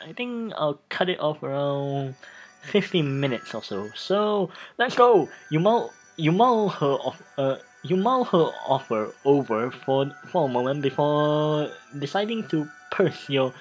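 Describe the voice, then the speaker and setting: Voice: medium pitch, poor audio. A let's play host giving a dramatic reading of game text with asides, often repeating and restarting phrases. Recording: music behind the voice. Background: music.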